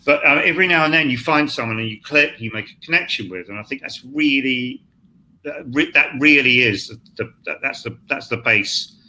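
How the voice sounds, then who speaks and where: A man's voice: mid-range voice, moderate pace, sharp tone, rough recording. A man who is a scriptwriter guest on a panel, talking in a blunt, rambling way and restarting his phrases, over a video call.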